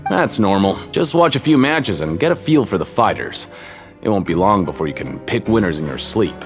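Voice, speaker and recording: A man's voice: fairly low pitch, lively intonation, moderate pace. An actor playing a shifty, folksy ticket seller at a fight ring in a video game, with a casual, confiding, hedging salesman's manner. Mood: breezy. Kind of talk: video game character acting. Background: music.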